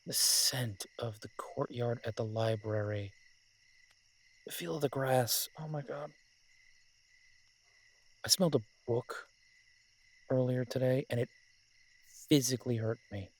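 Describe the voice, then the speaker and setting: A man playing a lovesick, wry mafia boss who rambles haltingly in an intimate audio drama voice message. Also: medium pitch. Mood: wistful, tender. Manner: halting, rambling, drawn-out pauses, occasionally swearing.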